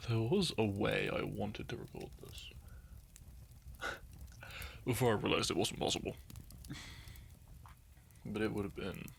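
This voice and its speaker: A man's voice: fairly low pitch, steady tone, measured pace, gravelly, long pauses, slight background noise. A young man talking to his listeners in a meandering, unscripted ramble. He laughs often, loses his thread, and sips wine as he goes. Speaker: audio content creator.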